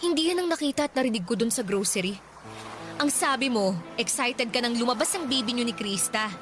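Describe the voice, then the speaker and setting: A woman plays a wounded, confrontational young working woman in a radio drama. Her voice is high and quick, with challenging retorts. Music runs clearly behind her. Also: animated, close to an everyday voice. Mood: indignant.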